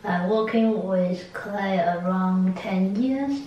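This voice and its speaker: A woman with a Taiwanese accent answering interview questions in halting, simple non-native phrasing. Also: medium pitch, warm tone, muffled recording. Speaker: interviewee, ceramic artist. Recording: echoey room, distant mic, faint noise.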